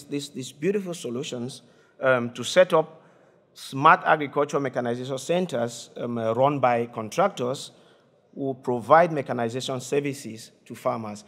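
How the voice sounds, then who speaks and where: A man giving a conference presentation in a halting way, with many fillers and repeated words. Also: medium pitch. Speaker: conference speaker. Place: conference hall.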